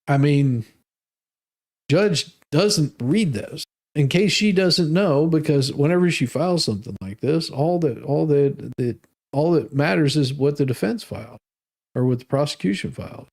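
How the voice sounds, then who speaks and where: A man's voice: on the high side, expressive, normal speed, creaky, a little mellow. A man hosting a live stream, reading documents aloud and adding off-the-cuff, sardonic and mocking commentary.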